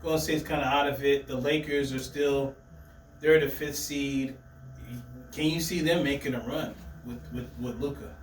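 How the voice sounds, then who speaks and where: A man's voice: mid-range voice, steady tone, normal speed, distant mic. A man hosting a sports talk show, pressing his co-host with pointed questions. He sounds set back from the microphone in an echoey room. A faint hum of background noise sits underneath. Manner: asks leading questions, needling. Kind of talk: casual sports chat.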